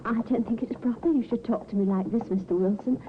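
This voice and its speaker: A woman's voice: on the high side, fast talker, lightly acted. An actress playing a proper, hesitant young barmaid flustered by her employer in a 1950s radio drama. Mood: flustered, demure. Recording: tinny, hissy old radio recording.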